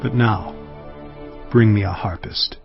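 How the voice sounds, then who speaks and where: An actor playing a stern, commanding prophet who declaims solemnly in a dramatized scripture audiobook. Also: male voice, fairly low pitch, steady tone, takes it slowly, gravelly, dull audio, soft background music. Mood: scornful. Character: stern commanding male prophet speaking for God.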